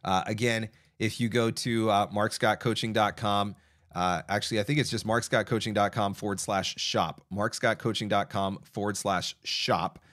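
A man in his thirties, with a slightly low-pitched voice, hosts a livestream from a small home studio. He answers viewers' questions in a relaxed, conversational way, with frequent fillers and restarts. The sound is close and direct from a studio mic on an arm. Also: normal speed. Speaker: voiceover coach hosting a live Q&A. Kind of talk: casual advice livestream. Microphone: broadcast mic on arm, close.

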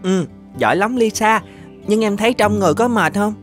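A woman's voice: mid-range voice, a little fast, soft background music, close to an everyday voice. A woman voicing a caring, earnest orphan boy, a protective older brother in a cartoon, speaking tenderly and politely. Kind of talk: animated children's story dubbing.

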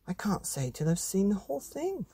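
A man playing a nonchalant, husky young boy. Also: sits high, wildly swooping pitch, moderate pace, mellow, dry recording, pitched above the performer's own voice, heavily acted. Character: young boy, nonchalant, secretive monster-drawer.

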